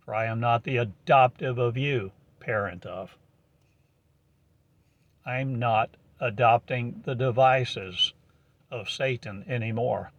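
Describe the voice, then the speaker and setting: A man delivers a prophetic monologue in a slow, halting, solemn cadence, full of archaic turns of phrase. His voice sits at an ordinary pitch and is recorded close and dry, with no room around it. The recording is dull and lo-fi. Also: no echo.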